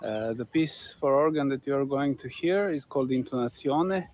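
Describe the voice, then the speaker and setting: Male composer, mid-pitched, measured and plain-spoken. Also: animated, darkish tone, slight background noise. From Serbia. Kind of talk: recorded introduction to a piece.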